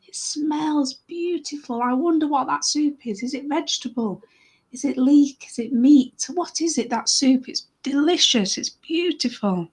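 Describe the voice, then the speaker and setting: A meek, starving man in a storybook, played by a woman. His voice is high and wistful. He repeats himself as he longs and pleads. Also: a little slow. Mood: wistful longing. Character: poor, starving, meek man longing for soup.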